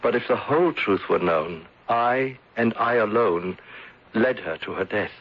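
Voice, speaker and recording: Husky, earnest, troubled gentleman. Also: fairly low pitch, expressive, exaggerated voice. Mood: anguished. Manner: halting, earnest, melodramatic. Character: anguished, guilt-ridden male former insurance agent. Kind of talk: 1970s radio drama acting. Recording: dull lo-fi old radio broadcast.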